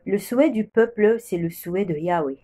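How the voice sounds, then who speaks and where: A woman's voice: mid-range voice, normal speed, dark tone. A woman from the Democratic Republic of the Congo giving a prophetic religious testimony with solemn, repeated declarations. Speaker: preacher sharing a prophecy.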